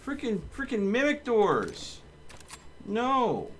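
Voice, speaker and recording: A man's voice: very high-pitched, huge pitch swings, slow pace, a bit bright, slight background noise. A man doing live gameplay commentary as a streamer, talking to himself in short running remarks. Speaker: game streamer. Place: indoors, streaming a game.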